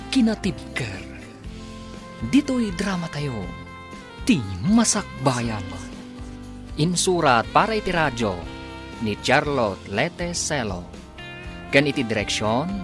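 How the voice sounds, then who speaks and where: Ilocano radio drama guy, dramatic narrator, very lively delivery, music playing behind. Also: medium pitch. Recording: music bed under the voice.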